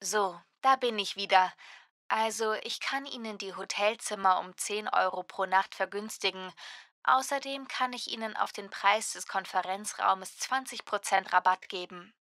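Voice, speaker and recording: A woman acting a courteous, helpful hotel receptionist in a scripted language-lesson dialogue, with careful, clear diction. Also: medium pitch, normal speed, sharp tone, dry recording, clean studio sound.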